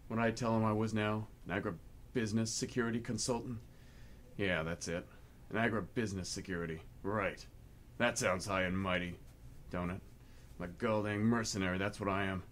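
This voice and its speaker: A man playing a blunt, world-weary young mercenary in a radio play monologue, gruff, colloquial and self-mocking. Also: fairly low pitch, brisk, a little hiss or hum, lightly acted. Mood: bitter candor. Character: world-weary, blunt male mercenary in his late twenties.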